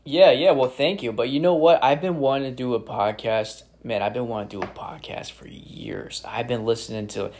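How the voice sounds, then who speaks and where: Man, podcast host, New York accent, drawn-out delivery, enthusiastic. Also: medium pitch, fairly even intonation, a little mellow, a little hiss or hum. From upstate New York. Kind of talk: casual podcast chat.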